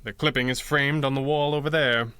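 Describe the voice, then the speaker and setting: Male actor as dry-witted crimefighter for 1930s-style serial: forceful tone, precise deadpan delivery, close dry studio sound. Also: medium pitch, fairly quick, clean tone, no echo, lightly acted. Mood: dry, measured. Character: composed, dry-witted male masked hero. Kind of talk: 1930s-style radio drama acting.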